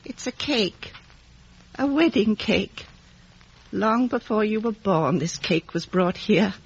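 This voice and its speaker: A woman playing a bitter, decayed old recluse in a 1950s radio drama, brooding and theatrical with a husky voice. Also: mid-range voice, animated, slow pace, full character voice. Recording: muffled vintage radio recording.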